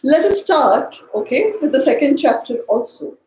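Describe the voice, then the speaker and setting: Woman teacher w high voice, deliberate n formal, kinda echoey room, spiritual study class. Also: sing-song, darkish tone, a little hiss or hum. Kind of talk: spiritual study lesson, explaining and reading aloud from a book.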